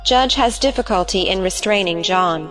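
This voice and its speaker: A woman's voice: medium pitch, moderate pace, fairly bright tone, soft background music. A woman reading stage directions as scripted narration for an audio play.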